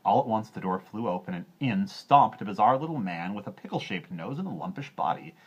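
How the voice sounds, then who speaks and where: Male storyteller, lowish husky voice, expressive fairy tale narration, dull recording. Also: a little fast. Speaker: storyteller reading aloud.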